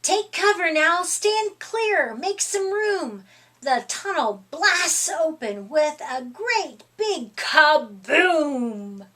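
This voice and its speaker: A middle-aged woman reading a picture book aloud to young children in an expressive, deliberate, emphatic way. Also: high voice. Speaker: storytime reader. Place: small furnished room indoors.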